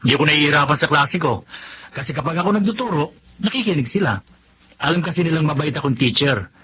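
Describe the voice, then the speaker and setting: A man's voice: a little high, expressive, quick pace, bright, forceful tone. A man playing a good-humoured schoolteacher husband in a Tagalog radio drama, jovial and bantering, with a Filipino accent. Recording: dull lo-fi audio with noise.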